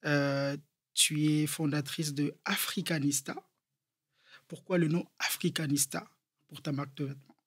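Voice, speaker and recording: Man, talk show host, husky voice, curious questioning. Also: a little high, level tone, a little slow, a bit bright. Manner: friendly, curious, asks short questions and reacts with laughs. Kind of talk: interview conversation.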